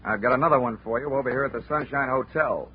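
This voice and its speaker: A man's voice: mid-range voice, quick pace, a little hiss or hum, lightly acted. Actor as a wry hard-boiled radio detective man, muffled. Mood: brisk, matter-of-fact. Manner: wry, deadpan, commanding. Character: wisecracking, unflappable male insurance investigator. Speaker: detective lead and narrator. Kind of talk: old-time radio detective acting, hard-boiled narration. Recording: muffled vintage radio broadcast, music.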